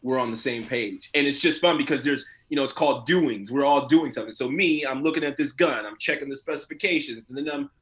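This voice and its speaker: A man who works as an actor, talking casually and colloquially as an interview guest, telling stories in an animated way. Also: mid-range voice, fast, hardly any pauses. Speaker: voice and motion capture actor being interviewed.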